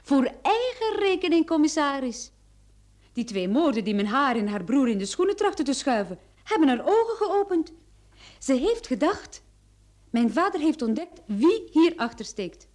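A woman playing an earnest, quick-witted young sleuth in an old Dutch radio drama, speaking with dramatic expression. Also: high-pitched, very animated, a little mellow. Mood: keen, deducing.

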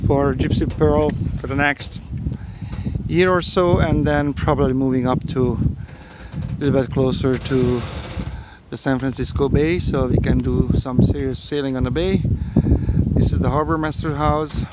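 A man casually narrating a walking tour of a marina, talking slowly with frequent fillers, recorded outdoors on a handheld phone. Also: medium pitch, steady tone. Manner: slow, halting, with frequent fillers. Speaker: sailboat owner vlogger. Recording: loud wind noise outdoors.

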